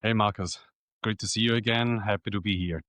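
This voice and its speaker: A middle-aged Austrian man whose first language is German speaks in a very low voice with a clear Austrian German accent. He answers questions plainly and matter-of-factly, often pausing on "uh". The recording is close and dry, with almost no room sound. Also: steady tone, fairly bright tone, no room sound. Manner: plain, matter-of-fact, with frequent "uh" pauses. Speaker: guest. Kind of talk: casual interview answers.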